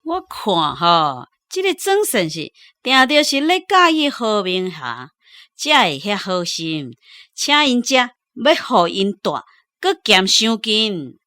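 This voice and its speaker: A woman's voice: medium pitch, very animated, slow pace, pushed and bright, no room sound, clean studio sound, heavily acted. A woman with a Taiwanese accent acting a gossipy, confiding member of an opera troupe in a radio drama. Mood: knowing, gossipy. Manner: confiding, insinuating.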